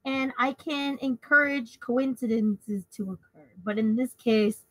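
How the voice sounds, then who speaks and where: High, bright-voiced woman playing tabletop games. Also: unhurried, no room sound, poor audio. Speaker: tabletop game player. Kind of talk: casual game chat, hesitant.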